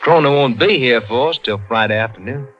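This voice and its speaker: A man acts an easygoing, naive convict in a 1950s radio play. His folksy, puzzled voice is of middling pitch. The old broadcast sounds muffled. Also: fairly quick, soft background music, natural voice. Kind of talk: 1950s radio drama acting.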